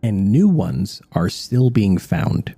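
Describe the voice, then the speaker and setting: A man's voice: on the low side, very animated, slow, dark tone, husky. A man co-hosting a radio show, explaining things in a conversational talk-show style.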